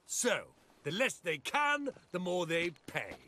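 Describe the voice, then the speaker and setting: A man's voice: high voice, big pitch swings, slow, bright, forceful tone, exaggerated voice. An actor playing a belligerent, sneering man in a video game, taunting and insulting as he talks. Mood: contemptuous. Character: belligerent, sneering male former acquaintance, mocking. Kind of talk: video game character acting.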